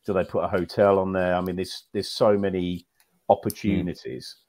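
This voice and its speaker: Deep-voiced man rambling on a video call. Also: flat delivery, normal speed, a little mellow. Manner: rambling, repeats words, many "you know"s. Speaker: podcast panelist. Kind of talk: casual fan podcast chat. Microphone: video call with earphones.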